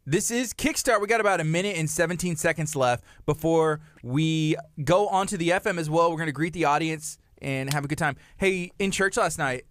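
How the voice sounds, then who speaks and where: A man's voice: on the high side, brisk, hardly any pauses, fairly bright tone, dry recording. A man in his thirties co-hosting a live morning radio show, upbeat and chatty, laughing often and restarting phrases, in a studio on a studio microphone. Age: 30s. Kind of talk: casual live radio chat.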